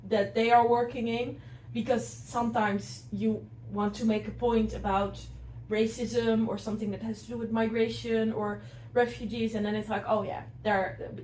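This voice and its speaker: A woman's voice: a little high, little pitch movement, moderate pace, a little mellow. A woman city councillor speaking earnestly and directly in a casual discussion. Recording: echoey room, mic some distance off, faint noise.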